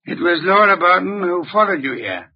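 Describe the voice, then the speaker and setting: An actor playing a brusque, imperious genius detective, curt and pedantic, in old-time radio drama style. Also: male voice, high-pitched, lively intonation, moderate pace, breathy edge, full character voice. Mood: grudging, dry. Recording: dull, muffled vintage radio recording.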